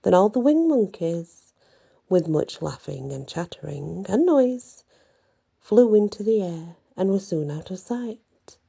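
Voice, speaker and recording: Very dark-voiced, slow woman storyteller. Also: medium pitch, big pitch swings, gravelly, slightly rough. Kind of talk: bedtime ASMR storytelling.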